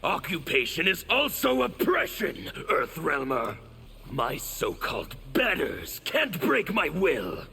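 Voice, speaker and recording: An actor voicing a bitter, proud rebel man in a video game, with a raspy, gravelly voice. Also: a little high, huge pitch swings, slow, long pauses, sharp tone, full character voice. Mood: defiant.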